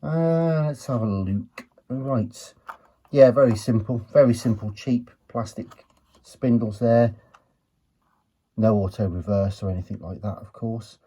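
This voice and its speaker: A man's voice: medium pitch, unhurried, mellow, slightly rough. A British man hosting a hands-on gadget video, chatting casually and thinking aloud as he goes. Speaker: host of a retro electronics teardown video. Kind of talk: casual hands-on commentary while examining a gadget.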